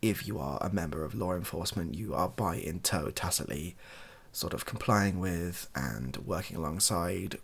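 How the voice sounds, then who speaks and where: A British man speaking softly in a rambling, hesitant, improvised opinion monologue for an online video. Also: low voice, normal speed, vocal fry, slightly rough. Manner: soft-spoken, hesitant and rambling.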